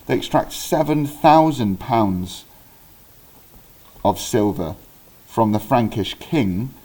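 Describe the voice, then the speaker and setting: A man's voice: medium pitch, animated, slow, a little mellow. A man from Wales, teaching history in a casual way, with many ums and restarts and the odd correction of himself. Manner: chatty, self-correcting, with frequent ums and restarts. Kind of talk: informal recorded history lesson.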